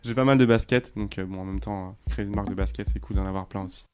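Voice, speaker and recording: A man in his thirties, from Côte d'Ivoire, co-founder of a sneaker brand, chatting casually and relaxed in an interview, with lots of fillers and restarts. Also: on the low side, fast, loud music behind the voice. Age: 30s.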